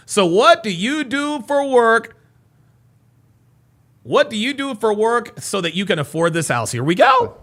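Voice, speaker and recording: A man giving casual live reaction commentary as a host, mockingly repeating what others say in an animated way. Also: very high voice, measured pace, pauses often.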